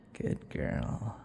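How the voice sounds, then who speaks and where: A man voicing a possessive, centuries-old vampire in an intimate ASMR boyfriend roleplay, teasing and breathy, often dropping toward whispers. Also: warm tone, a little hiss or hum. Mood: pleased, coaxing. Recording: long reverberant echo.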